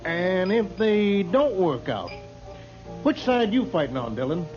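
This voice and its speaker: High-pitched drunken western troublemaker, swooping delivery, challenging, slurred, old radio drama. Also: male voice, big pitch swings, measured pace. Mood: belligerent. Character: belligerent drunken frontier townsman spoiling for a fight. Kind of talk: 1950s western radio acting. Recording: muffled old radio broadcast, loud music.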